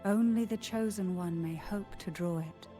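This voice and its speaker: A woman playing a composed, secretive noblewoman in a video game, speaking in a formal, measured way. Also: fairly low pitch, warm tone, lightly acted. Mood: solemn, explanatory. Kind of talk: scripted video game dialogue. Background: music.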